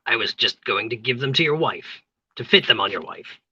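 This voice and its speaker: Woman doing flustered lovesick neighbour in her 40s, raspy voice that swings all over, roomy mic. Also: rumbling low, lively intonation, moderate pace, pushed and bright, muffled recording, exaggerated voice. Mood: taken aback. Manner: hesitant, theatrically torn. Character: flustered, love-hungry neighbour woman of forty-two. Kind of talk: play table read.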